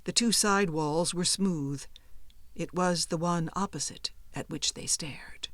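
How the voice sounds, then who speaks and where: A woman reading a suspenseful horror story as an audiobook narrator, with expressive and deliberate delivery. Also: on the low side, no echo.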